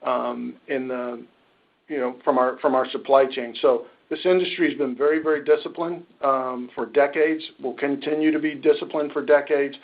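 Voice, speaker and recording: A man speaking as a company finance executive, answering questions on a conference call in measured corporate phrasing. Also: medium pitch, level tone. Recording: muffled conference-call audio.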